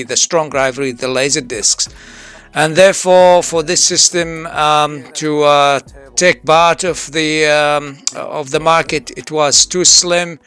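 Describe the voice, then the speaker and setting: A man hosts a casual hands-on restoration video in a clear, bright voice. He talks in a halting way, working his thoughts out as he goes. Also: on the high side. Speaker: host restoring vintage electronics.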